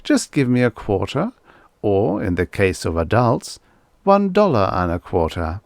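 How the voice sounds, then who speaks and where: A man's voice: fairly low pitch, very animated, unhurried, no echo, studio quality. A man voicing a persistent, chirpy robot salesman in a storybook reading, delivering emphatic sales patter. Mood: salesy, upbeat.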